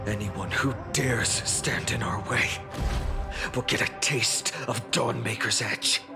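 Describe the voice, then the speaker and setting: A man's voice: mid-range voice, slow pace, gravelly, over loud music. An actor voicing an earnest, dutiful young male warrior leader in a video game, with a solemn, heroic delivery. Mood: solemn, determined. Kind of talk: English video game voice acting.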